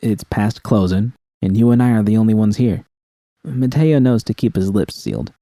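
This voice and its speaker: A man's voice: fairly low pitch, animated, normal speed, mellow, no room sound. A man voicing a teasing, reassuring woman with a coaxing, seductive manner in an audiobook story. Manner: coaxing, purring.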